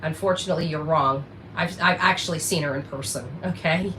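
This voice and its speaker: A woman's voice: sits low, fairly quick, sharp tone. A woman ranting casually in a blunt, defensive, profane manner on noisy livestream audio.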